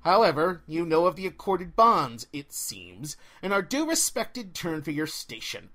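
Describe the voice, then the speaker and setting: A man's voice: on the high side, sing-song, normal speed, fairly bright tone. A man voicing a haughty, curious female forest guardian in an audiobook, stately and formal in manner. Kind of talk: audiobook character voice.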